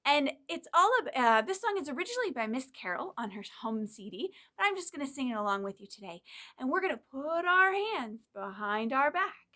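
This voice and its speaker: A woman leading a children's storytime, talking directly to young kids in an animated, encouraging way as she presents songs and reads aloud. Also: high-pitched, brisk.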